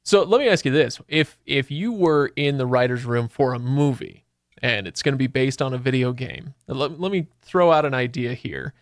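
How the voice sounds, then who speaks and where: A man hosting a casual podcast discussion, talking conversationally as he thinks out loud. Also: medium pitch, normal speed, no room sound, clean studio sound. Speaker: podcast host.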